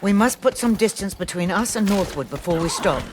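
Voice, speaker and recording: An actor playing a wary, formal male companion who speaks in grave, measured warnings. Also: high voice, expressive, background noise. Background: game score music.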